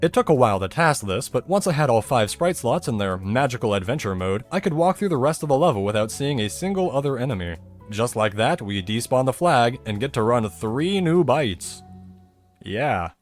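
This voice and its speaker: A man's voice: medium pitch, big pitch swings, normal speed. A man giving a scripted, explanatory video narration in a conversational way, with dry asides and rhetorical questions. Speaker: video creator narrating a technical game explainer. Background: music bed under the voice-over.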